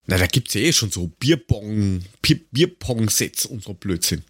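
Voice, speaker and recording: A man's voice: fairly low pitch, expressive, normal speed, a bit bright, breathy edge, no echo, clean studio sound. An Austrian man chatting casually as a podcast co-host, rambling and grumbling in a sarcastic, coarse-mouthed way.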